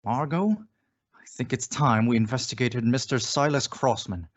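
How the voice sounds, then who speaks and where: An actor playing a composed, resolute gentleman detective in an old-time radio thriller. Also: medium pitch, a little slow, close to an everyday voice. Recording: dull lo-fi audio.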